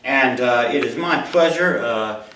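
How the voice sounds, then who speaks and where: Guy at a live reading, slow pace, kinda far from the mic in a roomy space. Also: medium pitch, a bit bright, slight background noise. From Mobile, Alabama, USA. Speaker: writer reading his own short story. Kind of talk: live story reading to an audience, expressive. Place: indoor room at a live reading event. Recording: roomy, echoey hall, distant microphone.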